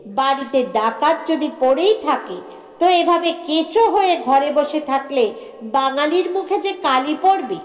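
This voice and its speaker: A woman narrating a short story in a measured, expressive, dramatic audiobook style. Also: high voice. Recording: echoey, long reverb.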